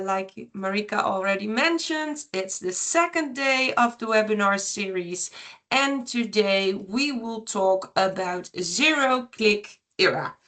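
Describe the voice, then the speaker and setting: A woman's voice: on the high side, bright, forceful tone. A woman from the Netherlands presenting on a webinar, friendly and enthusiastic. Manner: friendly and enthusiastic, with occasional laughs.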